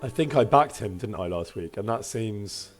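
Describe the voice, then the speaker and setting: A man streaming a game with casual, self-deprecating commentary, in a husky voice, chatting with his viewers. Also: fairly low pitch, lively intonation, normal speed, faint music behind.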